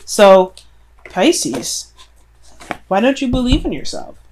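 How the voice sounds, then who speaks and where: A woman's voice: a little high, sing-song, slow, darkish tone, a little hiss or hum. A woman doing a casual tarot reading, speaking straight to the listener in an earnest, encouraging way with emphatic repetition. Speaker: tarot reader. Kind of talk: casual spoken tarot reading addressed to the viewer.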